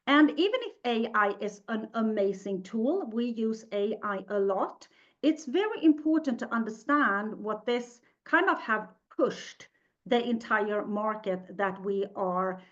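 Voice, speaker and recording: A woman's voice: on the high side, dull audio. A woman from Sweden with a Swedish accent, hosting a live webinar in English, her second language, in a chatty, upbeat way and reading out the live chat. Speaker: webinar host.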